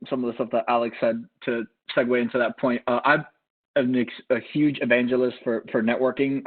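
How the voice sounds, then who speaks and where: A man, Cuban-born and Miami-raised, tells his career story in a loose, conversational panel style. He often restarts and circles back on his own phrases. His voice sits at an ordinary pitch and comes through a tinny video call line. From Cuba, raised in Miami. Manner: rambling, many restarts and fillers.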